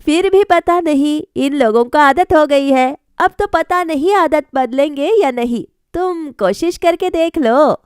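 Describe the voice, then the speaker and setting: A woman's voice: high voice, measured pace, hardly any pauses, no room sound, studio quality, voice pushed up. A woman voicing a plain-spoken, resigned older mother-in-law in a storybook, explaining things matter-of-factly. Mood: doubtful.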